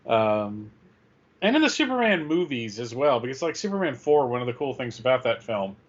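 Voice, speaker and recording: A man's voice: mid-range voice, sing-song, moderate pace. A man co-hosting in casual chat, on a slightly dull-sounding recording. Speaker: co-host.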